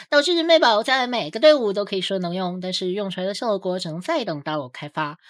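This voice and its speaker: A woman's voice: medium pitch, a bit bright. A woman reading scripted commentary for a game guide video as its host.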